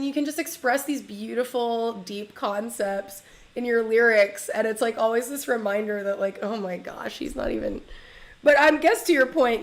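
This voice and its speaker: A young woman hosting a casual interview, effusive and enthusiastic, laughing often. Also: a little high. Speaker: host.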